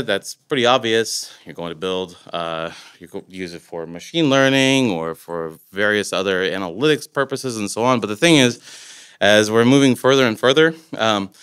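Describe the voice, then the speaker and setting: A man's voice: on the low side, a bit bright, clean tone. A man in his forties from Latvia, giving a casual technical conference talk with loose, rambling phrasing and many fillers. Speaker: conference speaker. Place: conference room, indoors. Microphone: podium microphone.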